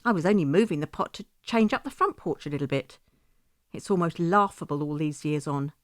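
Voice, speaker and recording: A woman's voice: low-pitched, expressive, brisk, dry recording, clean studio sound. A woman playing a grieving, bitter widowed mother, telling her story in a first-person audio drama monologue. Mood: sorrowful, reflective.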